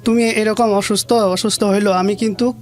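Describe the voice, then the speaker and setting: Bangladeshi guy, high voice, telling his life story in plain village talk, soft music behind. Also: fairly even intonation, moderate pace. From rural Bangladesh. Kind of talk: personal storytelling in an interview.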